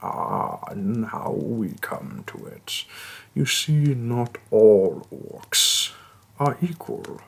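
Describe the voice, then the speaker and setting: Man voicing a slow, gravelly, gentle orc shaman. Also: heavily acted. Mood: earnest, measured. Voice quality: gravelly, husky, breathy low voice. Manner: slow, deliberate, halting, calmly reassuring. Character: hulking, gentle, patient male orc shaman healer. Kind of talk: fantasy roleplay audio acting, addressing the listener.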